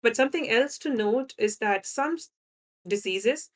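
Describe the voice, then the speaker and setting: A woman's voice: fairly high pitch, lively intonation, normal speed. A woman from India with an Indian accent, a guest scientist explaining her work in a conversational interview, often checking in with "right?". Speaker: guest scientist in drug development.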